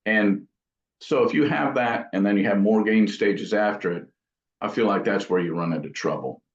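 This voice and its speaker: A man's voice: on the low side, normal speed. A man being interviewed as an amp builder, talking casually in thoughtful, rambling explanations. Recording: dull, echoey room sound.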